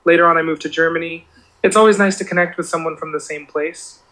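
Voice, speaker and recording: A man's voice: on the high side, fairly even intonation, fast talker, sharp tone, rough recording. A synthetic male voice clone acting as a chirpy, eager-to-please AI assistant, played through a laptop speaker in a meeting room. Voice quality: cloned voice sounding like the presenter. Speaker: AI voice agent. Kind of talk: conversational AI phone agent.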